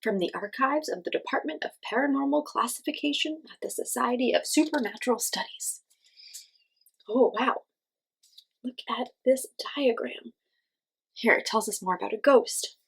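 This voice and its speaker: Expressive woman reading aloud to young children. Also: on the high side, moderate pace, gravelly, slightly rough, low-quality recording. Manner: addresses children with questions and simple explanations. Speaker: children's read-aloud host and teacher.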